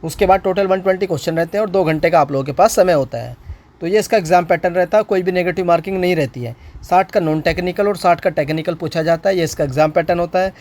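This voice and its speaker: A man's voice: high voice, fast talker, a little mellow. A man giving an informal explainer as an exam-prep instructor, repeating points and posing questions to himself. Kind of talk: informal explainer on a recruitment notice.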